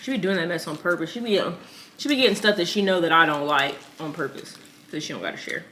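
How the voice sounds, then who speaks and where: A woman's voice: fairly low pitch, fast, fairly bright tone, slight background noise. A young woman vlogger chatting casually and teasing over a seafood meal, with a playful drawl. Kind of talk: casual mukbang chat.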